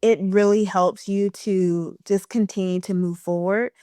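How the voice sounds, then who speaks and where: A woman's voice: medium pitch, fairly even intonation, a little slow, dark tone, clean tone, dry recording, clean studio sound. A woman in her thirties, an esthetician, talking casually on a podcast in an encouraging way, with a light stutter and many fillers.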